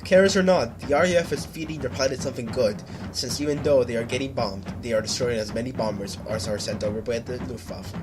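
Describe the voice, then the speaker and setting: A man's voice: mid-range voice, fast, few pauses, noisy background. A man reading scripted narration in the style of a 1940s newsreel. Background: music.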